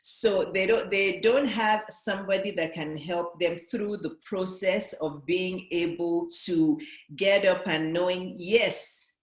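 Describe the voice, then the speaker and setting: A woman's voice: fairly low pitch, fairly even intonation, takes it slowly. Nigerian-british lady coach talking to camera, earnest, keeps restating stuff, bit echoey. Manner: earnest, repetitive, building points by restating. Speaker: business coach. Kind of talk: motivational talk to camera.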